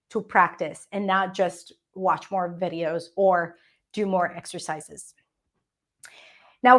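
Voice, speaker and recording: A woman with an Israeli accent coaching English pronunciation in an energetic, emphatic teaching talk, modelling sounds as she goes. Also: mid-range voice. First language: Hebrew. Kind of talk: teaching webinar.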